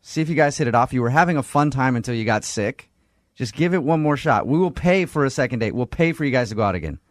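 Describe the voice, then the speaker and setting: A man's voice: mid-range voice, animated, fast, no room sound. A man hosts a radio show with casual, persuasive banter. His voice sits in a middle register. The sound is dry, with very little room.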